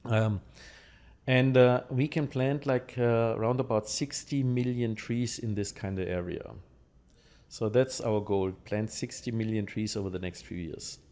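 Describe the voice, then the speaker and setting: A man from Germany with a German accent, explaining things conversationally and haltingly in an interview, checking in with his listener. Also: on the low side, a little mellow.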